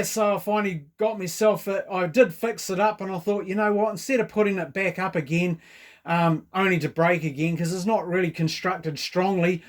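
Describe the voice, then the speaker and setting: A man with a New Zealand accent chatting casually as a radio operator, informal and full of radio jargon. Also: high-pitched.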